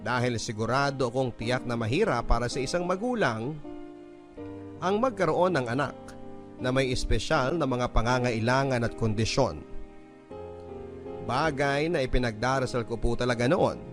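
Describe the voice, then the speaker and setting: A man narrating a listener's letter in a calm, even storytelling manner for a radio drama. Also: mid-range voice, moderate pace, long pauses. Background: clear background music.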